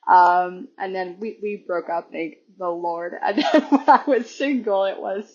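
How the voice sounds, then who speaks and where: A hoarse woman guesting on a podcast, chatting casually and rambling with restarts and laughs. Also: mid-range voice, measured pace, darkish tone. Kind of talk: casual chat. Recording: dull lo-fi recording.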